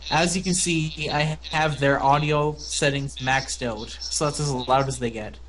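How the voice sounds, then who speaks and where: A man hosting a weekly podcast live, talking loudly and addressing the stream's viewers. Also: on the high side, fairly even intonation, unhurried, crowd noise. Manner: loud, announcing to stream viewers. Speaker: podcast host. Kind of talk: casual livestream banter. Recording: noisy voice-chat mic.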